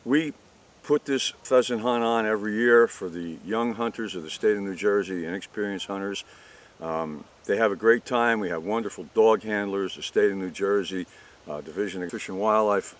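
A man in his sixties giving a plain-spoken interview outdoors as the organizer of an event. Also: lowish voice. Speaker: youth hunt organizer. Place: outdoors by parked cars. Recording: faint outdoor background noise.

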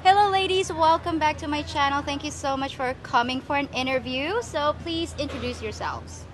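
A woman's voice: high-pitched, moderate pace. A young woman with a Filipino accent hosting a casual interview outdoors. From Philippines. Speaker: host and interviewer. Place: outdoor bench in a public plaza.

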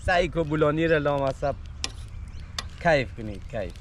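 A man's voice: on the high side, noisy background. A man in his thirties with an Afghan Dari accent, chatting casually to the camera outdoors at a park picnic while cooking and tasting food. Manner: relaxed, chatty, talking to camera while eating. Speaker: vlogger.

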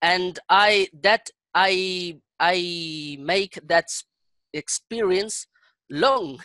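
A middle-aged man with a Chilean accent and Spanish as his native language, chatting in halting English and repeating phrases as he searches for words. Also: high voice, pushed and bright, smooth, no echo. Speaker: English learner. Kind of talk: casual conversation practice. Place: indoor room on a video call. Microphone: headset.

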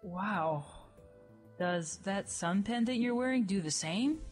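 Woman doing a snarky young creature girl for a comic audio play, expressive, music behind. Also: medium pitch, slow. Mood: curious. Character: sarcastic, brash young female creature, dead heroine. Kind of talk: dramatized webcomic audio play.

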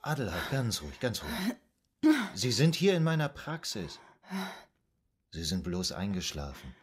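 An actor playing a calm, methodical male psychoanalyst in a radio drama, speaking in a measured, formal, probing manner. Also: on the low side, breathy edge, full character voice. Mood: soothing. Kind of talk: German radio drama acting.

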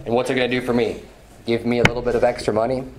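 A man in his thirties preaching a sermon from a pulpit, emphatic, repeating phrases and climbing in pitch when stirred. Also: flat delivery, rapid-fire, heavy background noise. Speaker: pastor. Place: small church hall with banner, indoors. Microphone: far camera, at a pulpit.

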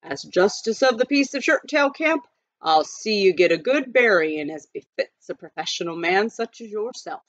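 A woman's voice: medium pitch, animated, moderate pace, fairly bright tone, dry recording, natural voice. Woman voicing a blustering frontier justice man. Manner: blustering, folksy officialdom. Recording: dull lo-fi recording.

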